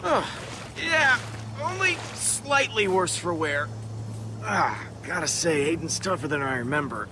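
Easygoing young sidekick, slightly high voice. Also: male voice, big pitch swings, measured pace, background noise. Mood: wry. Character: friendly, easygoing young male adventurer sidekick. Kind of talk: video game voice acting. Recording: music under the dialogue.

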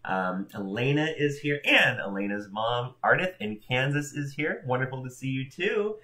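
Bright-voiced upbeat man greeting viewers. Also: medium pitch, expressive, moderate pace. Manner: chatty, upbeat, greeting viewers by name with laughs. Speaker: church livestream host. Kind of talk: casual welcome chat. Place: home room in front of a backdrop.

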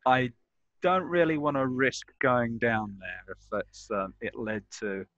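A man's voice: mid-range voice, fairly even intonation, a little slow, a bit bright, no room sound, dull audio. A man speaking casually and in character as a player in an online tabletop roleplaying game. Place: indoors at home on a group video call.

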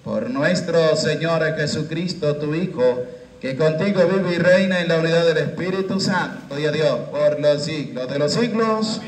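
Man, priest, 30s, leading prayers, slow deliberate cadence, handheld mic, echoey small chapel. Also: on the high side. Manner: slow, measured liturgical cadence. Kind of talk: liturgical prayer and Mass leading. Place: small chapel with curtained walls. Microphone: handheld microphone.

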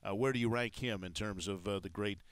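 Middle-aged male sports host, resonant and lowish, dry close studio sound, measured with filler pauses. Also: clean tone, dry recording. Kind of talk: studio interview. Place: TV studio set. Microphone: headset mic.

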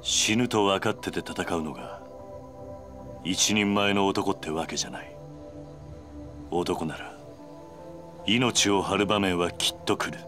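A man's voice: sits low, unhurried, pauses often, music in the background. A man playing a stoic, commanding veteran yakuza in a video game drama, terse, firm and protective. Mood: grave, resolute.

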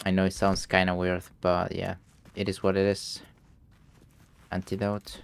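A young man commentates his gameplay while sick, and the illness drags his voice lower than usual. His tone has a gravelly, fry-laden edge. He reads in-game notes aloud in halting bits, full of fillers, speaking English as a second language. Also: completely flat delivery. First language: not English. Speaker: gameplay streamer. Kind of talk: let's play commentary.

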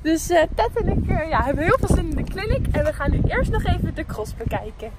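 A woman's voice: extremely high pitch, sing-song, quick pace, heavy background noise. A young woman from the Netherlands chatting enthusiastically in a casual video diary, recorded outdoors on a phone at arm's length. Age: teenager to young adult. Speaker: vlogger and event rider. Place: outdoors at an equestrian event ground.

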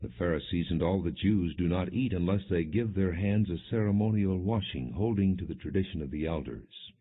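Low-voiced guy reading the bible like an audiobook, solemn and measured, bit husky, muffled narrow sound. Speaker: audiobook narrator. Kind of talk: scripted narration, non-dramatized Bible reading.

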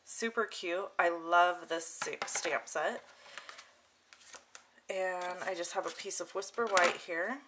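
A woman with a fairly low voice hosts a hands-on craft tutorial. She talks casually and informally, step by step as she works. The recording has clear noise behind her, with clicks from handled tools. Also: slow pace. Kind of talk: casual hands-on demonstration narration. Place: indoor craft desk.

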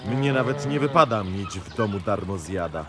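An actor playing a harsh, stingy elder brother, a busy miller, scolding brusquely in a fairy-tale audio play. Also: male voice, lowish voice, expressive, normal speed, crowd noise, close to an everyday voice. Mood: gruff dismissal.

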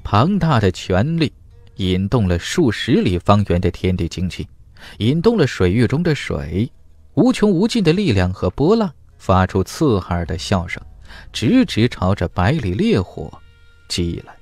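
A man's voice: lowish voice, big pitch swings, unhurried, darkish tone, dry recording, faint music behind. A man reading a fantasy audiobook as narrator, in a dramatic scripted storytelling style.

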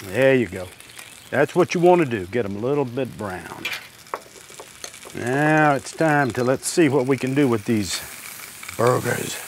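Older male host, relaxed homespun cooking demo, clip mic, loud sizzling griddle behind. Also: medium pitch, unhurried, pauses often, noisy background. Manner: easygoing, homespun, talks while working at the griddle. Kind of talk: step-by-step cooking demonstration. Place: outdoor covered deck by a griddle.

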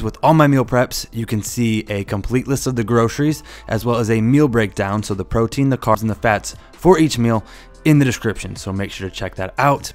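A man hosting a fitness channel, talking casually through a meal prep voice-over with a few fillers. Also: medium pitch. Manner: relaxed, casual explanations with fillers. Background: faint background music.

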